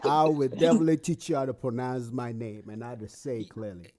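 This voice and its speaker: A man from Nigeria speaks emphatically and hammers his points home by repeating them. His voice is mid-pitched. Clear crowd chatter can be heard behind him. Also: steady tone, brisk. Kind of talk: casual podcast chat.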